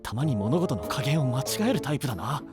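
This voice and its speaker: An actor playing a brash, rough-spoken young knight candidate with a kind streak, grumbling in slangy speech in a fantasy audio drama. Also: male voice, mid-range voice, big pitch swings, fast, over loud music, close to an everyday voice. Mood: grumbling, concerned. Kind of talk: anime-style fantasy audio drama acting.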